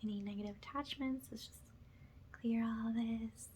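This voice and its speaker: A woman with a soft, breathy voice that often drops to a whisper, gently leading a soothing spiritual ASMR session in a reassuring way. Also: a little high, steady tone, moderate pace, slight background noise. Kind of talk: ASMR, soothing guided energy cleanse.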